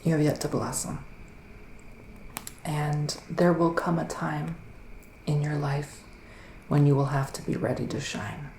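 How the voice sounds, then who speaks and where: A man performing a soft-spoken, often whispered ASMR roleplay as a psychic witch, musing in a hesitant, drawn-out, mystical way. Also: on the high side, little pitch movement, roomy sound, a little hiss or hum, low-quality recording. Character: psychic male witch giving a mystical reading.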